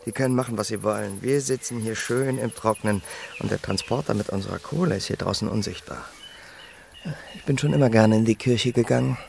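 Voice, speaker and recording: Gravelly, wry heist robber. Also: male voice, fairly low pitch, brisk, a little mellow, a little hiss or hum. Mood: pleased, wry. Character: wry male armed robber planning a heist. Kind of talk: German radio crime drama acting.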